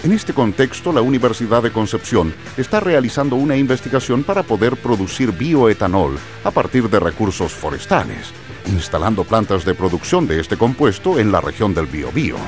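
A man narrates in scripted educational documentary style. His voice is fairly low with lively, varied intonation, and music plays behind it. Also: normal speed.

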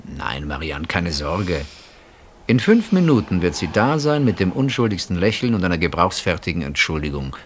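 A male actor playing a middle-aged husband in a radio play, wryly reflective and brooding, speaking with hesitant pauses and deliberate phrasing. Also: sits low, very animated, a little hiss or hum. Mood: casual, reassuring. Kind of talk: radio play acting, intimate dialogue.

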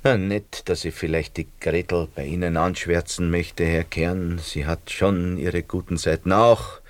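Low-voiced, scheming Austrian father. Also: fairly even intonation, unhurried, dry recording. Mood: fawning, needling. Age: middle-aged. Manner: rambling, ingratiating, theatrical. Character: scheming, self-pitying, fawning middle-aged Austrian shopkeeper and father. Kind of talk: radio drama acting.

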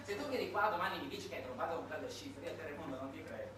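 A man's voice: a little high, animated, racing, a crowd in the background, voice pushed down, close to an everyday voice. A man playing a stubborn, incredulous café regular, repeating himself emphatically in a theatrical monologue. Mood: incredulous, insistent. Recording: echoey, distant stage recording with music.

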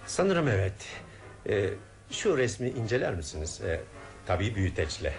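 An actor playing a shrewd, scheming male investigator in a Turkish radio drama, polite and guarded, with deliberate phrasing. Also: lowish voice, sing-song, faint music behind. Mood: polite, probing. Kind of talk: Turkish radio theater acting.

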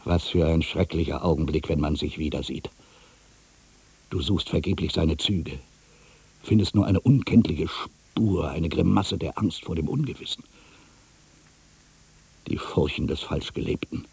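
A man's voice: a bass voice, a little slow, vocal fry, pauses often, husky, dry recording, heavily acted. A man playing a bitter, anxious ageing writer who thinks aloud in a theatrical radio-play monologue, brooding and volatile. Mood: weary, brooding. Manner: brooding, theatrical, from hushed muttering to agitated outbursts. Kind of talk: dramatic first-person monologue, radio play.

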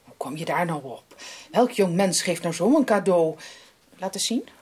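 An actress playing a worldly, dismissive married sister in a period radio drama. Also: female voice, fairly low pitch, expressive, measured pace, darkish tone, slightly rough. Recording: slightly noisy old radio recording.

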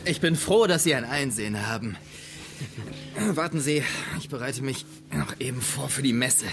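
A man's voice: mid-range voice, moderate pace, lightly acted. An actor playing a stern, self-righteous clergyman, insistent and lecturing, in a radio crime drama. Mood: firm. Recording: faint music behind the voice.

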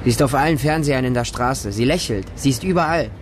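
An actor playing a frightened, devoted street child who lives with dogs, telling the story in short, simple sentences for a radio drama. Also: male voice, mid-range voice, quick pace, lightly acted. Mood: plaintive, earnest. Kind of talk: first-person radio drama monologue. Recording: music under the voice. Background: music.

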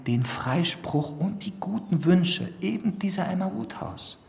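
Reverberant young gentleman's letter voice. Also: sits high, expressive, a little slow, creaky, full character voice. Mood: earnest self-justification. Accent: standard German. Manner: eloquent, self-justifying, ornate. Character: young, charming, effusive gentleman reading out his own letter. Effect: reverb marking a letter voice. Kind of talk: period radio drama acting. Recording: long reverberant hall effect.

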